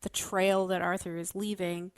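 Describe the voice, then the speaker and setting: A woman's voice: on the low side, level tone, moderate pace, clear, resonant tone, no room sound, studio quality. A woman podcast panelist explaining theories in a casual, considered way, qualifying what she claims.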